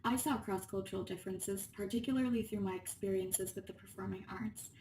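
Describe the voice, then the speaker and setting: A woman's voice: mid-range voice, brisk, a little mellow. A woman from Spain reading a scripted personal narration as a student presenter. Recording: roomy, distant mic, faint room noise.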